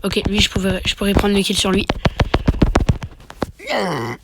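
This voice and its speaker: A man's voice: sits high, expressive, a little slow, gravelly, very noisy. A man with a cold, sniffling as he casually commentates his own match into a phone mic, muttering half-whispered asides and mock boasts. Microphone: phone microphone.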